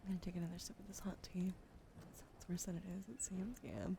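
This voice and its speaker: A young woman whispers close and soft in ASMR style, her voice creaky and gravelly at the edges. Her throat is wheezy, and she chats casually, thanking viewers and swearing now and then. There is a clear hiss of noise under her stream mic. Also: fairly low pitch, little pitch movement, fairly quick.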